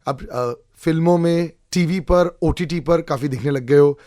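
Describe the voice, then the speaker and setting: A man's voice: a little high, measured pace, warm tone. A man with an Indian accent hosting a casual interview, informally switching between Hindi and English. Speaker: radio interview host.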